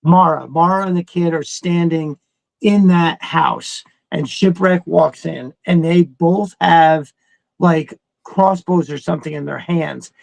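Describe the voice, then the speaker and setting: Male podcast co-host, middle-aged, creaky voice, dry close sound, excitable, rambling, sweary. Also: on the high side, takes it slowly, dry recording. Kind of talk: casual fan chat.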